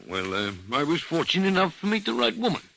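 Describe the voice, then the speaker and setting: A huffy older toff from an old radio drama, talks fast, really sharp voice jumping around. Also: male voice, sits high, wildly swooping pitch, vocal fry, heavily acted. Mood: indignant, flustered. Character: blustering, indignant British gentleman, older father figure.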